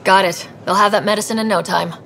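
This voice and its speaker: A woman playing a confident, helpful young space explorer in a video game, casual and assured with a creaky voice. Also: medium pitch, steady tone, fast talker, a crowd in the background, natural voice. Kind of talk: video game dialogue acting.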